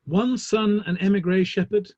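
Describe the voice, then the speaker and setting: A man playing a wry, gossipy, worldly Victorian theatrical gentleman in a play reading, with formal phrasing and dry wit. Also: sits high, fairly even intonation, unhurried, no room sound, lo-fi sound. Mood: gossipy, arch. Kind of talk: online dramatic play reading.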